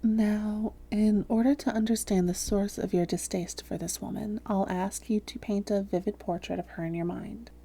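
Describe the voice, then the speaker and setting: A woman playing a calm female vampire therapist in a roleplay audio, speaking softly, gently and in a measured, reassuring way. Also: medium pitch. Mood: soothing, hypnotic. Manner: measured, gentle, reassuring, breathy hushed phrases. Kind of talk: soft-spoken roleplay audio, therapist addressing listener.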